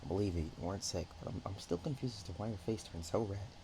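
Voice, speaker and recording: A man voicing a shy, playful young dragon-dog girl in an ASMR roleplay, speaking softly and haltingly with naive affection. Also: low-pitched, noisy background, close to an everyday voice. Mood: curious, hesitant. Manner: hesitant, halting, giggly, soft-spoken. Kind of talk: ASMR roleplay, one-sided intimate chat.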